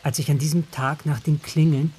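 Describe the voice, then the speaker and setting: A man's voice: on the high side, little pitch movement, normal speed, a little mellow, breathy edge. A man narrating a first-person radio drama, recounting memories in a reflective way. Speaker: actor narrating.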